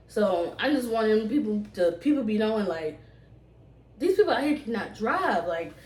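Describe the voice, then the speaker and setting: A woman's voice: medium pitch, a little mellow. A woman chatting casually to camera in storytime style, rambling and slangy, with emphatic repeats. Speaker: vlogger. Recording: roomy echo, distant microphone.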